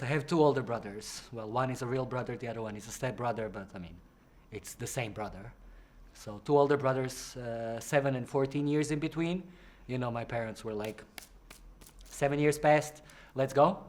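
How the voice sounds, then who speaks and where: A young man from Croatia, a drag performer, answering interview questions in a chatty, playful way, jumping into high voices to act out what other people said. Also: darkish tone.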